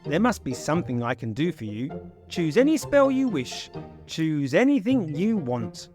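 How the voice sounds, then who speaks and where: A man voicing a kindly, grateful and excitable wizard in a children's storybook. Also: on the high side, moderate pace, music in the background. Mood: generous.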